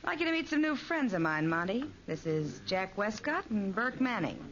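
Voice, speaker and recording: Tough, flirtatious, animated dame. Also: female voice, medium pitch, normal speed. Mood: sociable, teasing. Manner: slangy, worldly. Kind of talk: 1940s radio drama acting. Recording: muffled old radio recording.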